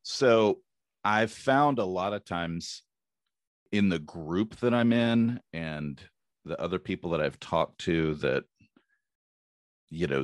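A middle-aged man hosting a podcast, speaking in a halting, casual way with many pauses. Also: a bass voice, gravelly, dry recording, clean studio sound. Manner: halting, many fillers and restarts. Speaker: podcast host. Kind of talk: casual interview.

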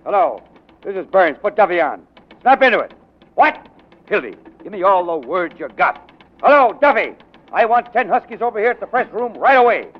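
An actor playing a ruthless, domineering newspaper editor who barks orders in 1940s radio drama style. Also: male voice, high voice, sing-song, moderate pace, a little hiss or hum. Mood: urgent, commanding. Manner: barking, domineering, commanding. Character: ruthless, bullying, fast-scheming newspaper editor, adult man. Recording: muffled 1940s radio recording.

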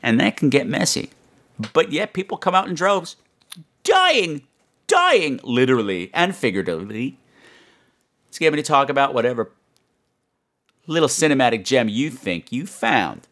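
A man's voice: medium pitch, sing-song, normal speed, long pauses, fairly bright tone. A man hosting a horror podcast, chatting to his listeners in a campy, playful, self-mocking and emphatic way. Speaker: podcast host.

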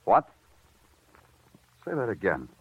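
An actor playing a terse, wry, hard-boiled New York police detective in a 1950s radio crime drama. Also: male voice, lowish voice, wildly swooping pitch, slow pace, gravelly, husky, no room sound, heavily acted. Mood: weary, dry. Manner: terse, wry, tough, slangy. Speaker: lead detective and narrator. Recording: tinny vintage radio recording with music.

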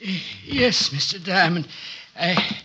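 An actor playing a prim, timid, easily flustered butler in a 1950s radio drama, formal and deferential. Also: male voice, high-pitched, lively intonation, slow pace, pushed and bright, husky, exaggerated voice. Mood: fussy, deferential. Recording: dull, muffled vintage radio recording.

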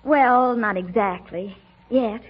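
A woman's voice: on the high side, slow pace, slightly rough, soft background music. An actress playing an earnest, lovestruck but proud young woman in a 1940s radio comedy. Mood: dreamy, earnest. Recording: muffled old radio broadcast.

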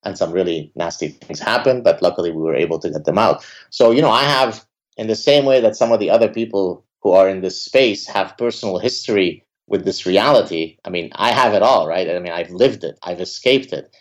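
A man's voice: sits low, brisk, highs cut off. A man from Lebanon whose first language is Arabic, a podcast guest talking emphatically and often tagging "right?" onto his points. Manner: emphatic, frequent "uh" and "right?" tags. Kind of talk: conversational interview.

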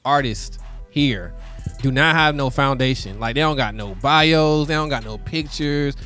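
A man's voice: mid-range voice, a little slow, bright, forceful tone, soft background music. An American man in his thirties in a casual panel chat, slangy, repeating words for emphasis. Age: 30s.

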